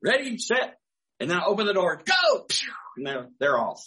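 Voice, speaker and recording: Older male pastor, folksy storyteller, a bit high-pitched, animated delivery. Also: moderate pace. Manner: folksy storytelling, chuckling asides. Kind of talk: sermon with personal anecdotes.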